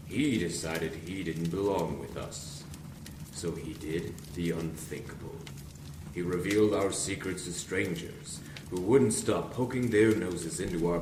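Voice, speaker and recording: A man playing a cold, solemn villainous cult leader in a noir audio drama, speaking in formal, measured oratory. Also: deep voice. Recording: echoey room, distant mic, ambient noise.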